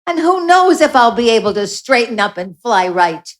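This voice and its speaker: A woman's voice: a little high, very animated, moderate pace. A woman playing a bossy, exasperated little angel in a radio play, grumbling with sarcastic exclamations. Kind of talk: live radio play acting.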